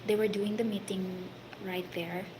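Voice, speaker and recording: A woman from the Philippines with a Filipino accent, telling a story about her work in a halting, casual way, full of fillers and sometimes dropping to a whisper. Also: medium pitch, little pitch movement. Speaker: hospitality worker telling a story. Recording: noisy background.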